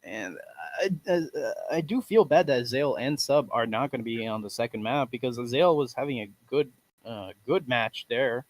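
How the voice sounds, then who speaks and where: A man with a Portuguese accent, a native Portuguese speaker, doing live esports commentary with animated delivery and frequent stumbling restarts. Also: mid-range voice, moderate pace, no room sound. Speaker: esports caster.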